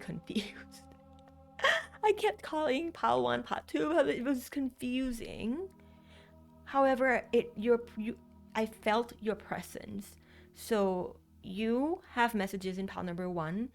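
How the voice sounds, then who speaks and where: A woman with a Thai accent whose first language is Thai, giving a casual card reading and rambling with many fillers and restarts. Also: mid-range voice. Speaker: tarot card reader.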